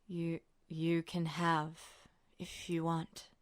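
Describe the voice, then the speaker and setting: Woman voicing a weak, slurring, exhausted man, very slow. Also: low-pitched, completely flat delivery, dry recording, voice pushed down. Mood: weak, pleading. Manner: halting, slurred, mumbling, words trailing off.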